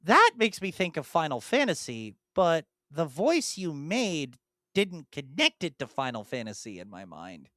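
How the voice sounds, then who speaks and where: A woman co-hosts a casual chat, thinking aloud and poking fun at herself as she guesses. Her pitch swings widely, though her pace stays slow. The sound is dry and close. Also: no room sound. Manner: animated, self-deprecating, thinks aloud and repeats clues. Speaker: podcast co-host.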